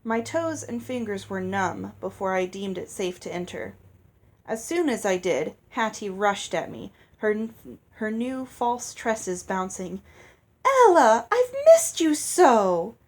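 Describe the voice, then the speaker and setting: A woman's voice: medium pitch, expressive, a little slow. A woman reading a novel aloud as narrator, in a storytelling style. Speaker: audiobook reader.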